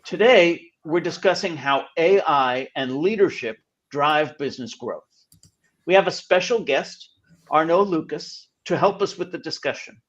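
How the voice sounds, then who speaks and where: A man's voice: on the high side, lively intonation, measured pace, a bit bright. A man hosting a business talk show, reading a polished introduction and questioning his guests over a video call. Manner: upbeat, polished announcer delivery. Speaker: podcast host. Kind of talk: scripted show introduction and interviewing. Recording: dull lo-fi online call audio.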